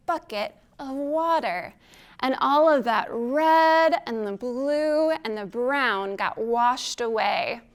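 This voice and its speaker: A woman's voice: high-pitched, a bit bright. A woman hosting a children's storytime as a librarian, reading aloud expressively and putting questions to young listeners.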